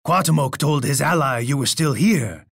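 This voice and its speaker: An actor playing a boastful, menacing Aztec emperor in a video game, with a gravelly, raspy voice and a pompous way of speaking. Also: male voice, a little high, lively intonation, normal speed, fairly bright tone, no room sound, clean studio sound, full character voice. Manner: pompous, speaks of himself in third person. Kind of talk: theatrical video game voice lines.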